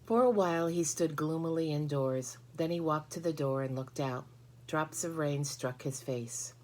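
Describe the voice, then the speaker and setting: A woman's voice: low voice, moderate pace, darkish tone. A woman narrating a children's story from a book as a storybook reader.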